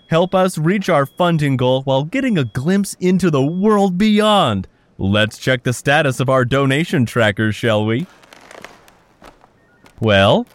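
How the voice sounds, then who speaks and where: An actor playing an upbeat radio telethon host who makes a chummy, chuckling pitch for donations. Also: male voice, no echo. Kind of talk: audio drama acting.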